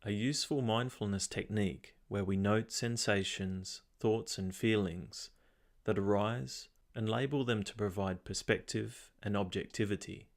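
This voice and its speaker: Man, lowish pitch, meditation guide, calm instructional delivery, measured phrasing, long pauses.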